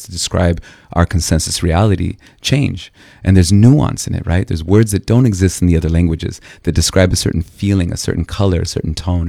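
A man's voice: low voice, creaky, dark tone, no echo, clean studio sound. A man from Brazil who grew up with Portuguese, Spanish and English, speaking earnestly and reflectively as an interview guest. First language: Portuguese and Spanish alongside English. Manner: earnest, philosophical. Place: radio studio.

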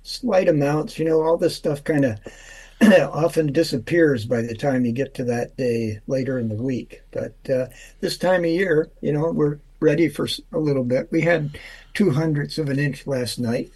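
A man giving a casual weather forecast on the radio, relaxed and unhurried, with many filler sounds. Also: on the high side, slightly rough. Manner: relaxed, drawn out with lots of uhs. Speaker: weather forecaster and humor guest.

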